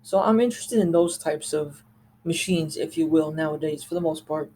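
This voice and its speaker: A mellow, medium-pitched voice hosts a casual show-and-tell of gadgets. The delivery is conversational and wanders into asides. There is some faint noise behind the voice. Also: normal speed. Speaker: host reviewing a radio collection.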